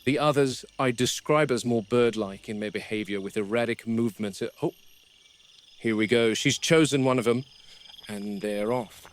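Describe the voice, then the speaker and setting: A man playing a grim, wary game warden who narrates a found-footage horror field log in a measured, grave, deliberate manner. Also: mid-range voice, close to an everyday voice. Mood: watchful, absorbed. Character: grim, wary male game warden logging predator behavior. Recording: noisy VHS-style found-footage recording.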